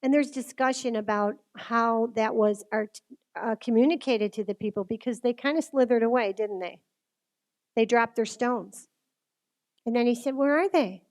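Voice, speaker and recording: Woman, fairly high voice, informal faith speaker; earnest, conversational delivery that puts questions straight to the listener. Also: moderate pace, darkish tone. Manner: earnest, conversational, direct address with rhetorical questions. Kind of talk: informal religious talk to a group.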